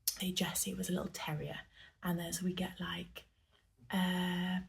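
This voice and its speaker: Woman, whispered ASMR talk, rambling, chatty, lowish voice. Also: little pitch movement, a little slow, gravelly. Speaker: dog daycare worker.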